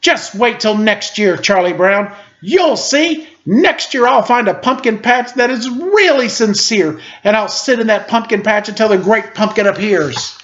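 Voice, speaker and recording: A man's voice: high-pitched, lively intonation, measured pace, hardly any pauses, fairly bright tone, voice pushed up. A man voicing an earnest, hopeful young boy who talks in an eager, pleading way. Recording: slightly dull lo-fi recording.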